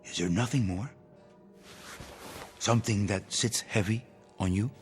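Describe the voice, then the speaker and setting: An actor playing a ruthless, suspicious revolutionary leader in a radio drama, imperious and contemptuous. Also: male voice, on the low side, a little slow, big-room sound, soft background music, close to an everyday voice. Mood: probing.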